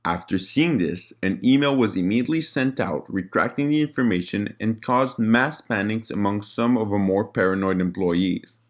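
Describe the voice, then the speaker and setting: A man with a fairly low voice reads out a written log. His delivery is formal and report-like, as scripted narration of official records. Now and then he trips slightly on a word. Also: normal speed, hardly any pauses.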